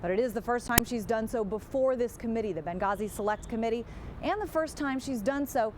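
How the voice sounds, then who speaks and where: A woman files a live TV news report in field-reporter style. Her voice is on the high side, with clear traffic rumble behind her. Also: brisk.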